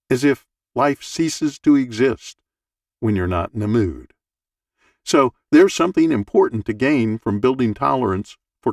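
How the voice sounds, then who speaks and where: Male audiobook narrator, sixties, gravelly with vocal fry, wry storyteller, dry booth sound at slight distance from the microphone. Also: medium pitch, darkish tone, dry recording. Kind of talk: expressive audiobook narration of a humorous first-person essay. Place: small dark home recording booth, dry.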